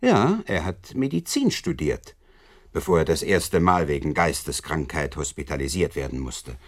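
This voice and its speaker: A self-assured police investigator in a radio play. The voice sits deep but moves animatedly through its range. He sounds wry and condescending, amused by those he addresses. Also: sing-song, moderate pace, heavily acted. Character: smug, amused Scotland Yard police investigator. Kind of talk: German radio drama acting.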